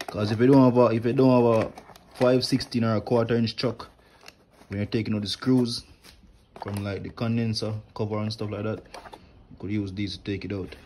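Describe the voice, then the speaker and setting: A man's voice: fairly low pitch, fairly even intonation, fairly quick, dark tone, a little hiss or hum. A young man, an HVAC technician, casually walking through his tool bag at home, explaining each tool plainly with fillers, recorded on a phone held close. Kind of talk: casual tool tour walkthrough. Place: indoors at home, wooden floor. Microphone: phone camera held close, filming hands.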